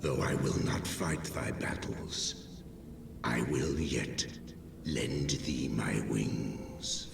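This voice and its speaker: An actor playing an ancient, proud male dragon in a fantasy game, with a deep gravelly voice and stately, archaic, solemn speech. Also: drawn-out words, in a whisper, heavily acted. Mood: solemn respect. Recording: cavernous echo, distant, noisy ambience.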